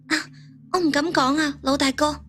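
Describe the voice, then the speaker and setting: Here is a frightened young woman, acted out by a female storyteller. Her voice sits high and she pleads tenderly, as in a dark audio tale. Faint music plays behind her. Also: fairly even intonation, moderate pace. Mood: fearful, pleading.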